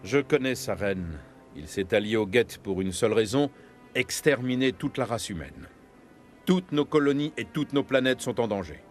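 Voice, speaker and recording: A man plays a grave, resolute military captain in a video game cutscene. His medium-pitched voice is serious and firm, over faint music. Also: animated, moderate pace, close to an everyday voice.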